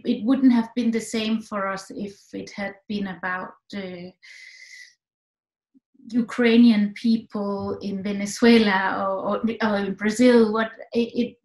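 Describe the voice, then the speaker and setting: A woman's voice: mid-range voice, darkish tone. Echoey woman from Denmark, searching for words. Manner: thoughtful, many restarts and fillers. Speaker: film director guest. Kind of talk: online film talk answers. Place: home room with echo. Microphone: computer mic on a video call.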